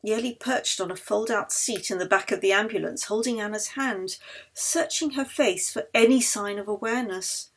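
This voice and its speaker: Creaky-voiced woman reading fiction. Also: medium pitch, moderate pace. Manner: expressive, literary storytelling. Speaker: author reading her own novel. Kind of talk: dramatic audiobook narration.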